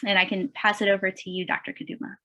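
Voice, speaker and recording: A woman with a medium-pitched voice hosts an informal webinar. She introduces the session and its speaker in a somewhat halting, hesitant manner. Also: flat delivery, pushed and bright, lo-fi sound.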